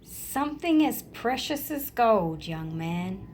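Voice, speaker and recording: A woman's voice: on the high side, big pitch swings, slow pace, noisy background. A woman voicing kindly elderly neighbours in a children's storybook, tender and reflective. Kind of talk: children's storybook character voices.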